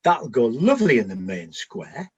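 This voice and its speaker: Comedy radio play: actor as down-to-earth ancient sentry, husky mid-pitched voice, lively intonation, conversational delivery. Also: moderate pace, dull audio. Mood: pleased, agreeable. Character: bored, observant, matter-of-fact Trojan night-watch soldier in a spoof. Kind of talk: comic radio play acting.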